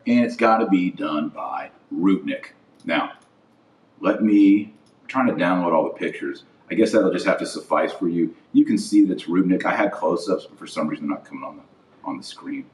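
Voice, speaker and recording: A man with a fairly deep voice delivers informal opinion commentary as a podcast host. He is blunt and indignant, hammering points home through repetition. The sound is echoey, with the voice set back from the microphone. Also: a little mellow. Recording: roomy echo, distant from the mic.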